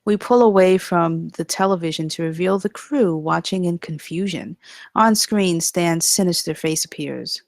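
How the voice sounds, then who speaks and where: A woman's voice: on the low side, moderate pace, no room sound. A woman narrating the stage directions at a screenplay table read in a scripted style.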